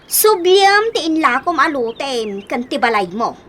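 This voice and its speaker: A woman's voice: sits high, big pitch swings, a little slow, a bit bright, heavily acted. An actress playing a firm wife who sets conditions on her husband in a radio drama. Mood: stern. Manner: firm, demanding. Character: stern wife setting conditions for return. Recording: background noise.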